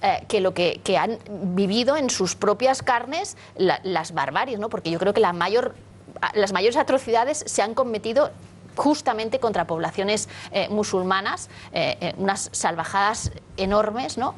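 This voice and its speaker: A woman from Catalonia makes her case on a studio panel, pressing forward and restarting her phrases. Her voice sits at a middle pitch, and a faint hiss runs underneath. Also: lively intonation, a little slow. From Catalonia, Spain. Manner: earnest, many restarts and tag questions.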